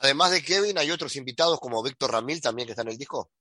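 A man's voice: mid-range voice, animated, rapid-fire, pushed and bright, no room sound, studio quality. A man interviewing a musician, asking his questions hesitantly with frequent fillers. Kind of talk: radio-style interview questions.

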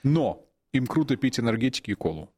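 Fast-talking male radio co-host. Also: medium pitch, very animated, mellow. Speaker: radio talk show co-host. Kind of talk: live discussion, conversational banter.

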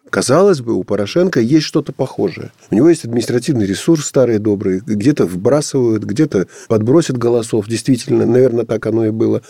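A man commenting on politics in a radio talk show, animated and emphatic, with mocking asides. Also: medium pitch, dry recording, studio quality.

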